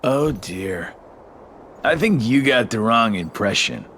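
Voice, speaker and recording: A smug game villain, who takes his time over every mocking word. His pitch swings up and down in a casual, teasing drawl. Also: expressive, slow pace, a bit bright, a little hiss or hum. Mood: mock sympathy. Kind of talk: video game cutscene acting.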